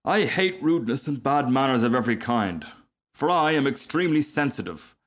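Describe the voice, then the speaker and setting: A man acts out a vain male firework rocket from a storybook. He declaims with haughty emphasis and takes offence easily, pitched a little above the narration and pitying himself grandly. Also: animated, a little fast, natural voice. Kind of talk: storybook character voice.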